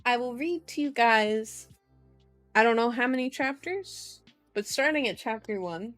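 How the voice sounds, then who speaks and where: A woman's voice: on the high side, expressive, unhurried, a bit bright, faint music behind. A woman hosting an ASMR stream, reading a book aloud and chatting to viewers in a playful, cutesy way.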